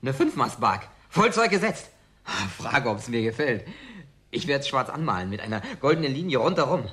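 A man's voice: mid-range voice, very animated, moderate pace, fairly bright tone. An actor playing Jim, a boyish, eager man in a German radio drama, boastful and evasive, talking in an insistent, colloquial way. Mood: excited, boastful. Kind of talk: German radio drama acting, naturalistic dialogue.

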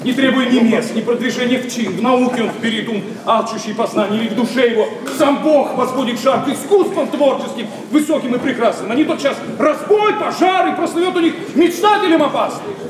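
Scornful young idealist, impassioned theatrical declamation, radio play, off-mic in a roomy space with an audience. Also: male voice, very high-pitched, brisk, hardly any pauses, crowd noise. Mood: indignant, scornful. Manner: impassioned, declamatory, biting sarcasm. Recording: echoey, distant stage sound with audience.

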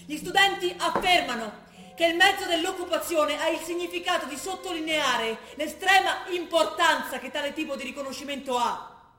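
An actress playing a determined, studious young daughter in a stage drama, with a Trentino accent and an earnest manner. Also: female voice, high voice, normal speed, pushed and bright, roomy sound. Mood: declamatory, insistent. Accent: Trentino, northern Italian. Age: young adult. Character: determined, studious young female sociology student, impatient daughter. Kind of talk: stage acting, from dialect talk to declaimed reading.

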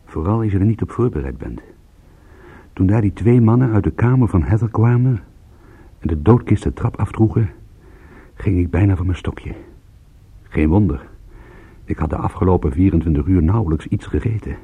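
An actor playing a weary, suspicious private detective in a radio drama, narrating and talking in a dry, wry, understated manner. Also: male voice, sits low, expressive, normal speed, long pauses, breathy edge, phone-quality sound, exaggerated voice. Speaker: detective and first-person narrator.

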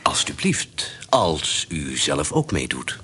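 A man's voice: extremely low pitch, big pitch swings, slow, pushed and bright, exaggerated voice. An actor playing a suave, evasive nobleman, courtly and sometimes hesitant, in a Dutch radio play. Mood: seductive, courtly. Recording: slightly noisy radio play recording.

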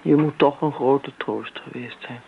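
An actress playing a devoted middle-aged daughter, earnest, coaxing and insistent in radio drama style. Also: female voice, rumbling low, a little slow, vocal fry, heavily acted. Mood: admiring. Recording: tinny, noisy old radio recording.

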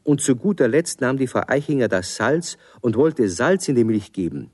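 A man narrating a scripted children's radio play in an expressive storytelling manner. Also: mid-range voice, moderate pace, no echo, clean studio sound. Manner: expressive, clearly phrased.

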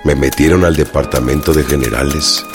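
An actor with a Mexican accent playing a proud, loyal, imprisoned revolutionary in a radio drama, speaking in a slow, plain-spoken way. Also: male voice, a bass voice, fairly even intonation. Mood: confiding. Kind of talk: radio drama, letters read aloud. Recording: faint music behind.

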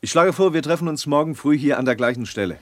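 An actor in a German radio play playing a reserved professional husband, terse, courteous and formal. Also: male voice, on the high side, lively intonation, fast talker, no echo, natural voice.

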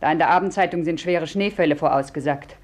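A woman's voice: deep voice, fast talker, a little mellow. An actress playing a self-possessed, laconic woman traveller with wry wit, in a 1950s German radio play. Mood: wry, offhand.

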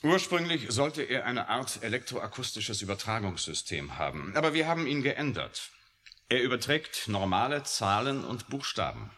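An actor in a science fiction radio play, playing an intense, defiant scientist who speaks in an emphatic, pensive way. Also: male voice, medium pitch, big pitch swings, normal speed, sharp tone. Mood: pensive, brooding. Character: intense, brooding, defiant scientist, grown man. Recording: faintly hissy old radio drama recording.

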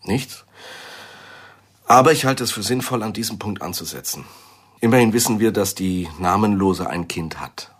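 An actor playing a guarded, businesslike intelligence man in a radio crime drama, with a husky voice and deliberate phrasing. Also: fairly low pitch. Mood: measured, guarded.

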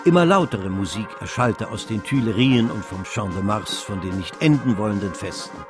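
A man's voice: on the low side, animated, moderate pace, music in the background, close to an everyday voice. A man narrating a literary radio drama as an eloquent storyteller. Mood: sweeping, vivid narration. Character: eloquent storyteller chronicling a financial frenzy.

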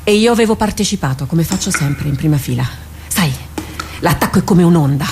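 A grave, creaky-voiced female warrior captain in a radio drama. She speaks haltingly and inwardly, like someone confessing under torment. The recording carries clear noise and small clicks. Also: fairly low pitch, animated. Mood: haunted, remembering.

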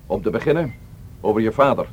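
An actor playing an unflappable police inspector in a radio drama, asking measured, dryly teasing questions. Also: male voice, lowish voice, expressive, lo-fi sound, heavy background noise, natural voice. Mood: calm, insistent.

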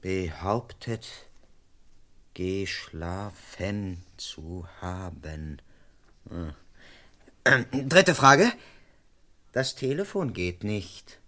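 An actor playing a suspicious British constable in a German radio crime drama, deadpan as narrator and brusque when questioning. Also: male voice, deep voice, extremely slow, pauses often, full character voice. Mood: officious, suspicious. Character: officious, suspicious British police constable, male, narrating his case. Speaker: narrator and lead character.

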